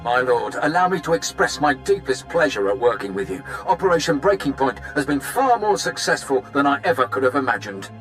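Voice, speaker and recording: A man's voice: medium pitch, sing-song, a little fast, few pauses, fairly bright tone, faint music behind, low-quality recording. An actor playing an eager male military captain in a video game, effusive and obsequious toward his superior. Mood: eager, deferential. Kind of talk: scripted game cutscene acting.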